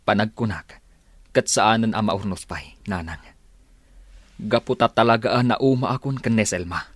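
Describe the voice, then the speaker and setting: A man's voice: fairly low pitch, normal speed, no room sound, close to an everyday voice. A man with an Ilocano accent playing a fed-up, stubborn husband in a radio drama. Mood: weary, resolute. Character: fed-up husband, weary and stubborn, confiding in mother.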